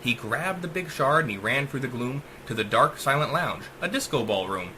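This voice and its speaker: Casting: male author-reader, theatrical and emphatic rhyming narration, sharp bright voice, very lively intonation. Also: medium pitch, normal speed, a little hiss or hum. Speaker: author reading own short story. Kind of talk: dramatic rhyming audiobook narration.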